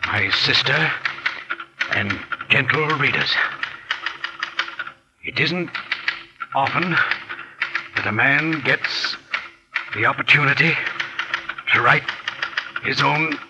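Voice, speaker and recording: A man's voice: mid-range voice, expressive, slow pace, pauses often. An actor playing an outwardly polite, scheming male murderer with hesitant, offhand courtesy in a 1940s radio mystery. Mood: slow dictating. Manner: hesitant, affectedly casual politeness. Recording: noisy vintage 1940s radio recording.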